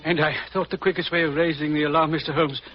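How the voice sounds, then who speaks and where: An actor playing an anxious, modest middle-aged country rector, speaking formally and politely in a radio mystery. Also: male voice, fairly high pitch, fairly even intonation, fast, over loud music, close to an everyday voice. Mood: shaken. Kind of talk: 1940s radio mystery acting. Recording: dull, noisy old radio recording.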